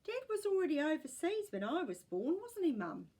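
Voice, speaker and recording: A woman's voice: high-pitched, very animated, moderate pace, a little mellow, voice pushed up. A woman voicing a kindly grandmother who remembers her childhood with tender sadness in a storybook. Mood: informative. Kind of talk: storybook character voice.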